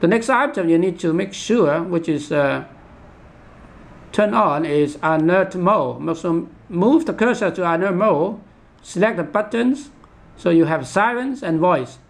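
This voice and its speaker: Male product demonstrator, high-pitched with a creaky edge, halting step-by-step instructional delivery. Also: lively intonation. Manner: halting, repetitive, instructional, with imperfect English grammar. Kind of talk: unscripted step-by-step product tutorial.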